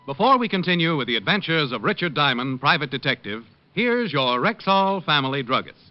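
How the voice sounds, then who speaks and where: A man's voice: fairly high pitch, sing-song, fairly quick. A man announcing a sponsor break in the style of old-time radio. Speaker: program announcer. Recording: muffled old radio, loud noise.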